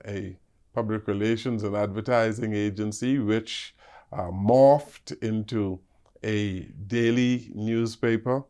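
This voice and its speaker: An older Bahamian man speaks slowly, with a gravelly edge and lowish pitch. He answers interview questions in a hesitant way, full of ums and uhs. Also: darkish tone. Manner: hesitant, many fillers. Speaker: ambassador guest.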